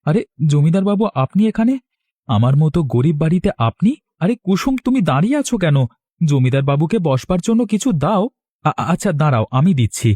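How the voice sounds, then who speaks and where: A man's voice: a little high, sing-song, fairly quick, dark tone, no echo. A man playing a humble, eager young farmer and respectful son-in-law in a cartoon. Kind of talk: cartoon voice acting.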